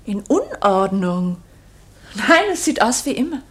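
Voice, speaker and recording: An actress in a German radio play as a tired, curious young secretary, polite and easily alarmed, breathy and often near a whisper. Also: female voice, mid-range voice, big pitch swings, a little slow.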